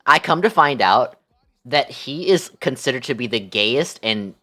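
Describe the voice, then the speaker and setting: A man's voice: medium pitch, lively intonation, a little slow, sharp tone. A young man livestreaming, chatting casually with his viewers in a playful, affectionate manner.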